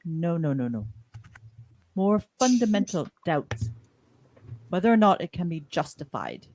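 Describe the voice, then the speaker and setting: A woman playing a grave, principled male starship captain in an amateur podcast radio play, speaking deliberately and earnestly. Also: lowish voice, big pitch swings, a little mellow, low-quality recording. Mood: troubled.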